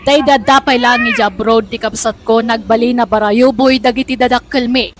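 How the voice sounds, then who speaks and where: A woman's voice: fairly high pitch, fairly even intonation, normal speed. A woman with a Filipino accent giving scripted dramatic narration as a radio drama host and narrator. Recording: music bed under narration.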